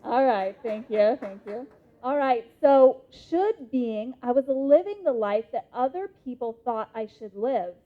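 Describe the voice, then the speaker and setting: A woman in her thirties with an American accent gives an interactive motivational talk in a conference hall, speaking emphatically with deliberate pauses. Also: on the high side, animated. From Idaho, United States. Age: 30s. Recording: muffled lo-fi live hall recording.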